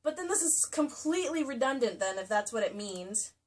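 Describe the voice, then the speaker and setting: A woman with a fairly high voice reads a novel aloud for comedy, leaning into mock-dramatic emphasis. She is giggly and theatrical, and sounds some way from the mic. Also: sing-song, fairly quick, a crowd in the background. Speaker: host reading a romance novel aloud. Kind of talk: comedic dramatic book reading with banter. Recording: distant mic, clearly noisy room sound.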